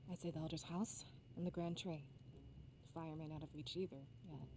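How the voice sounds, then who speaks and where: A woman voicing a pragmatic, argumentative female companion in a fantasy visual novel, with clear noise behind her. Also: low-pitched, fairly even intonation, fairly quick. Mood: matter-of-fact. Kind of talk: visual novel character acting. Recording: loud background noise and ambience.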